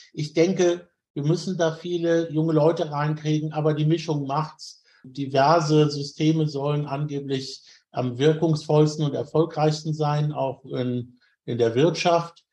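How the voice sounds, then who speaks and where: A man speaking as an interview guest, giving conversational answers in considered, measured phrasing. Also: on the high side, darkish tone.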